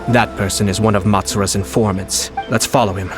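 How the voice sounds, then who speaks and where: An actor playing a shrewd, courteous young clan lord in a video game, speaking in a measured, calculating way. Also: male voice, on the low side, steady tone, close to an everyday voice. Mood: calculating, confident. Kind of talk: scripted video game dialogue acting. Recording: background music under the voice.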